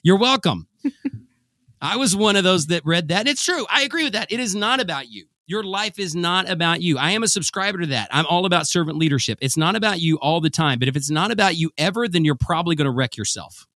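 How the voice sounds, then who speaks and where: Excitable sing-song male podcast host. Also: sits high, a little fast, no room sound, studio quality. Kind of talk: casual podcast chat.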